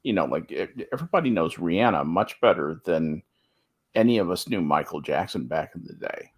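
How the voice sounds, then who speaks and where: Man, low husky voice, lively intonation, wry, casual panel chat. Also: a little fast. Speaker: talk show panelist.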